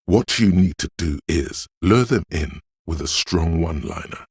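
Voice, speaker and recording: Game casting: slick inner voice of style, extremely low bass, coaxing and theatrical, close dry sound. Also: male voice, lively intonation, normal speed, darkish tone, no room sound, heavily acted. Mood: sly coaching. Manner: smooth, theatrical, coaxing second-person address. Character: inner voice of agility and style, slick urging hustler. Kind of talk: video game inner-monologue voice lines.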